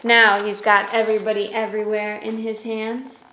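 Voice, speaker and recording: A woman's voice: medium pitch, level tone, moderate pace, sharp tone, a little hiss or hum. A woman hosting a children's story time, reading aloud and explaining in a simple way. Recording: roomy echo with music.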